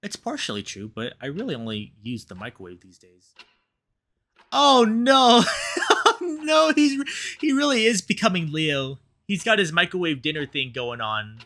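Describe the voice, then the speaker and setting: A man's voice: high-pitched, moderate pace. A man hosting a playthrough, expressively reading a visual novel aloud and reacting to it with commentary. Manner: animated, reacts aloud to the story. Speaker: let's play host, reader.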